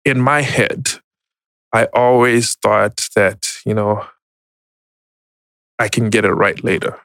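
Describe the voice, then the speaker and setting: A man tells a personal story as an interview guest, reflective and hesitant, pausing often. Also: lowish voice, fairly bright tone, dry recording, studio quality. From Zimbabwe. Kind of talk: personal testimony, conversational storytelling.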